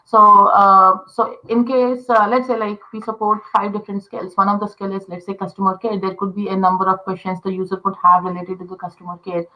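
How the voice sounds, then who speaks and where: Bright-voiced woman explaining tech. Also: medium pitch, level tone, brisk, hardly any pauses, poor audio. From India. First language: Telugu. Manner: patient explainer with many fillers and "you know". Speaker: voice interaction designer. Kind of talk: explaining in a casual interview.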